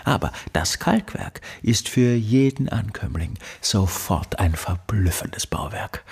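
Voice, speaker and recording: An actor playing an obsessive, embittered male recluse in a radio drama monologue, brooding and insistent in halting phrases, with a breathy voice. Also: fairly low pitch, full character voice. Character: obsessive, embittered recluse, a man devoted to his study.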